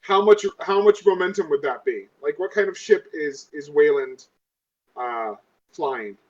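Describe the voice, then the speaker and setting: Highish guy voice, lo-fi mic, tabletop player talking through plans, kinda insistent. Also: animated, unhurried, darkish tone, slightly rough. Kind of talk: casual game-table chat.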